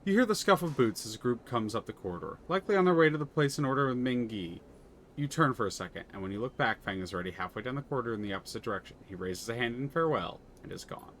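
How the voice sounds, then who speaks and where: A man's voice: mid-range voice, animated, quick pace, fairly bright tone, slight background noise. A man streaming a story game live, reading narration aloud and thinking aloud between moves, with frequent restarts.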